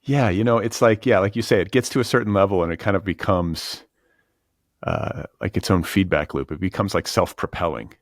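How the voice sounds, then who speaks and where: Low voiced podcast host guy, informal, kinda muses his way to the point, very dry sound. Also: fairly quick, dry recording. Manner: musing, informal, circles around his point with fillers. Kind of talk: casual conversational interview.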